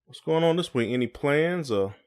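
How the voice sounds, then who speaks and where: A man's voice: on the high side, lively intonation, normal speed, darkish tone, clean tone. A man co-hosting a podcast in casual chat, asking questions in informal, hesitant phrasing. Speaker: podcast co-host.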